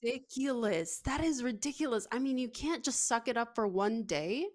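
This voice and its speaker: Emphatic woman, slightly high voice. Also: normal speed, dry recording. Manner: emphatic, opinionated. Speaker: technical producer and on-air commentator. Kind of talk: casual talk show opinion chat.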